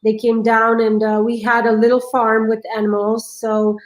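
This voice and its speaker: High-voiced Romanian woman telling her story. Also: monotone, roomy sound, rough recording. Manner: earnest, halting, many restarts. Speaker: guest. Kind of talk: personal storytelling.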